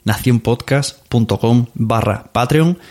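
A man's voice: medium pitch, takes it slowly, darkish tone. A man hosting a podcast in a casual, playful, effusive way, chatting to listeners. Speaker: podcast host. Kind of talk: casual podcast talk, reading reviews.

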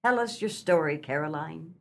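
A woman's voice: sits low, lively intonation, moderate pace, creaky, a bit bright, full character voice. Woman acting a motherly, fretful farmer's wife. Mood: sympathetic. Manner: earnest, motherly, theatrical. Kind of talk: comic melodrama radio acting.

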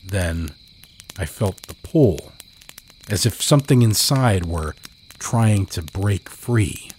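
A man voicing a grieving, haunted narrator of a first-person horror story, somber and measured. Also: low voice, lively intonation, a little mellow, slight background noise. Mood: haunted, uneasy. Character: grieving, haunted man recalling his dead loved one. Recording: cricket ambience behind voice. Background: crickets.

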